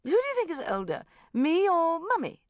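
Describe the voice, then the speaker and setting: A woman's voice: extremely high pitch, huge pitch swings, normal speed, no echo, pitched above the performer's own voice, full character voice. A woman voicing a gushing, maniacal grown woman who trills and gabbles playfully at a child, as an audiobook character.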